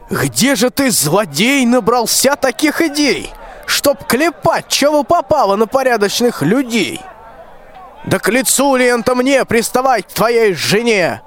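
A young man in a radio play acting a cowardly, wheedling, self-pitying old tsar in slow, theatrical, plaintive rhymed verse. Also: sits high, a bit bright, full character voice. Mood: indignant. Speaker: school student actor.

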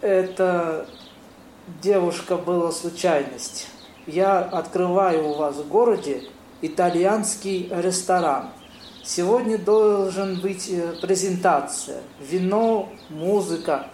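An actor playing a courteous, flustered young man who speaks halting broken Russian in an amateur audio play. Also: high voice, a little mellow. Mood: polite, charming. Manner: halting, ungrammatical foreigner's speech. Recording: roomy echo, noisy birdsong ambience.

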